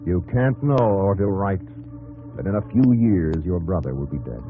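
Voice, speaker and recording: An actor narrating a 1950s radio drama, solemn and measured, addressing the hero intimately in terse dramatic phrases. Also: male voice, deep voice, expressive. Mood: solemn, reflective. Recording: tinny 1950s radio broadcast, music behind.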